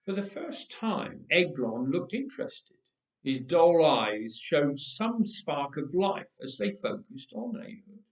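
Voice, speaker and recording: A man reading a story aloud in a dramatic, expressive, measured way with deliberate pauses. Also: fairly high pitch, distant mic. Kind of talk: dramatic scripted story narration. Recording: roomy, echoing indoor sound.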